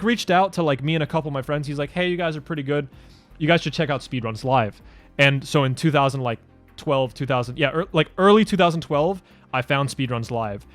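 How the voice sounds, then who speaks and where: Young male creator, twenties, mid-range voice, relaxed rambling delivery, light music bed. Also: medium pitch. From Denmark. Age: 20s. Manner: loose and rambling, many "like" fillers and restarts. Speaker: YouTube creator answering fan questions. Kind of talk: casual Q&A vlog.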